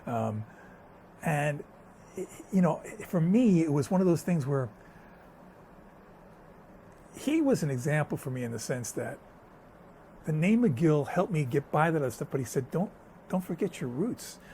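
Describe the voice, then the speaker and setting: A man's voice: a little high, moderate pace, a little hiss or hum. A man from the United States, a guest telling stories in a casual conversation. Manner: storytelling, animated and talkative.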